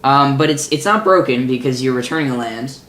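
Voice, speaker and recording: A man's voice: mid-range voice, a little fast, fairly bright tone. A man with a cold and a nasal, congested voice, co-hosting a casual podcast and talking things through with many fillers and restarts. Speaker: podcast co-host. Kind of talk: casual card-design chat.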